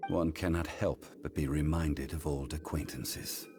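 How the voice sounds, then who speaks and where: An actor voicing an ancient god in human form, a calm and dignified scholarly man who speaks formally and without hurry, with game music underneath. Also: extremely low pitch. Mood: solemn. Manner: measured, formal and unhurried. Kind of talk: game cutscene voice acting.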